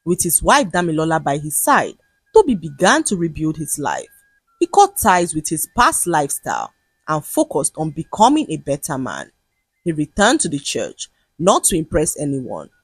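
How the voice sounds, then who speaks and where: Female folktale narrator, lowish pitch, slightly husky, dramatic delivery. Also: normal speed, dry recording. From Nigeria. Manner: dramatic, expressive storytelling. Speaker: storyteller. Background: music.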